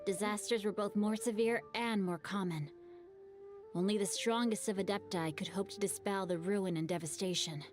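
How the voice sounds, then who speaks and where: Game casting: woman voicing a polite, thoughtful young scholar, measured storyteller. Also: mid-range voice, lightly acted. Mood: storytelling. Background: faint game music.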